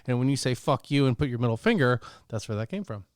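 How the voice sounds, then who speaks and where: Confident man, clear and dry. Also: mid-range voice, lively intonation, fast talker, dry recording. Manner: confident, profane. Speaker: talk show panelist. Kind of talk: casual storytelling banter.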